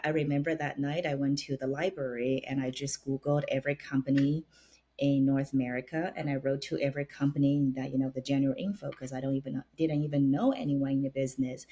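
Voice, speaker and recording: A woman in her late thirties from China, a guest on an interview podcast, telling her story in an animated way with many fillers and restarts. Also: deep voice, husky. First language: Chinese. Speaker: guest, opera stage director. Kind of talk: conversational interview.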